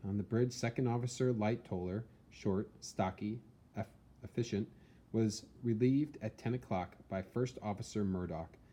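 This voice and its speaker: A man reading a historical account as dramatic scripted narration, in a measured, theatrical storytelling manner. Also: fairly low pitch, dark tone. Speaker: reader, narrator.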